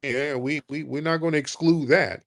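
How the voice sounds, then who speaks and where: A man hosting a call-in show, talking in a blunt, profane and animated way and sometimes mocking people in a high put-on voice. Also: moderate pace, gravelly. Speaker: host.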